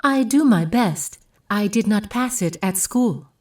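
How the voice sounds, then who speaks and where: A woman's voice: medium pitch, expressive, measured pace, a little mellow, far from the mic. A woman playing a polite, demure young Japanese woman in an audio drama. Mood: shy, polite.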